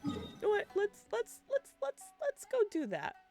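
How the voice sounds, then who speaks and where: A woman's voice: very high-pitched, wildly swooping pitch, measured pace. A woman doing casual live gameplay commentary as a streamer, chatty and thinking aloud. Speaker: game streamer. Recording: loud game music behind. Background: video game music and dialogue.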